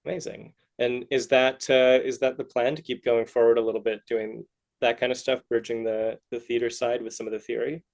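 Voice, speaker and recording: Man interviewing, halting and casual. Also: medium pitch, level tone, darkish tone. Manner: halting, rephrasing questions as they go. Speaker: podcast host, interviewer.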